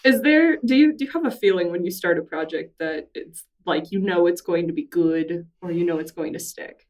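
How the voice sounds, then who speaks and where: A woman's voice: lowish voice, normal speed, darkish tone, distant mic. A woman hosting an interview in a library room, asking curious, friendly questions. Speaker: podcast host, interviewer. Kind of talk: casual interview.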